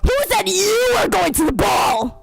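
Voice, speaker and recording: Lady doing a mean storybook stepmother, high voice, yelling, bossy and scornful. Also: big pitch swings, a little slow, creaky, very noisy, exaggerated voice. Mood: scornful, angry. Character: loud, scornful, domineering wicked stepmother.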